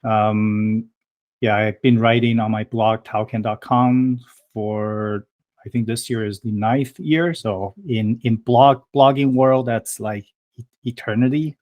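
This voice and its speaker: Low-voiced, hesitant man interviewee. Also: steady tone, takes it slowly, highs cut off. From Taiwan, raised in Canada. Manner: hesitant, many fillers and restarts. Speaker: guest, blogger. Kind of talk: casual interview answers.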